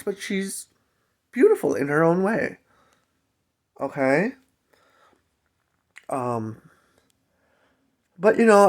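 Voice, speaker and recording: Chatty higher-voiced man telling a personal story. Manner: chatty, conversational, emphatic asides. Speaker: storytelling vlogger. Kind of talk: casual personal story time.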